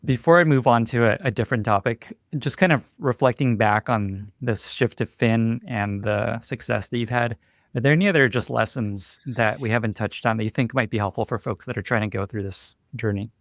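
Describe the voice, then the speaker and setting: A man's voice: medium pitch, a little fast, no echo, studio quality. A man hosting a podcast interview in a casual, chatty style. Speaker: podcast host.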